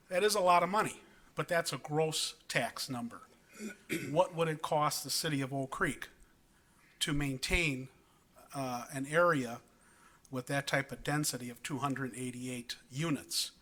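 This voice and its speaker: A man from Wisconsin speaking at a city plan commission meeting, earnest and halting, with many ums and restarts. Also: a little high, pushed and bright. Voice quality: big voice. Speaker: resident speaking at public comment. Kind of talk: public meeting comment. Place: council chamber.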